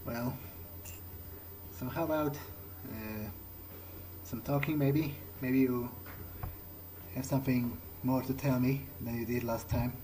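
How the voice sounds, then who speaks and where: A man's voice: medium pitch, fairly even intonation, slow, a little hiss or hum, close to an everyday voice, poor audio. A man playing an awkward, dry vampire adventurer in a hesitant, rambling improvised commentary on a video game. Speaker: let's player. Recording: loud game music behind voice. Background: video game soundtrack.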